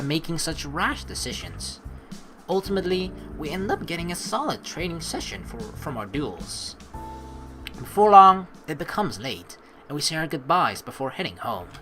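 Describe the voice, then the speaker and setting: A woman hosting a let's play in a chatty, rambling, earnest way, mixing casual commentary with reading game text aloud. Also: low-pitched.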